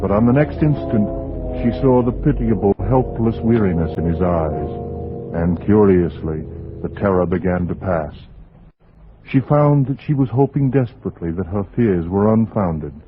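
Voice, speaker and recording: An actor narrating a 1940s radio suspense drama in a measured, dramatic way, with weighty pauses. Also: male voice, fairly low pitch, lively intonation, music in the background, close to an everyday voice. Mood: tense, brooding. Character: grave male narrator of a suspense tale. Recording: muffled 1940s radio recording.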